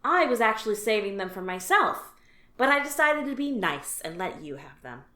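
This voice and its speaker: A woman's voice: on the high side, big pitch swings, moderate pace, pushed and bright. A woman voicing a jaded female alien office worker who talks about dull work in a dry, resigned, matter-of-fact way. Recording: roomy echo.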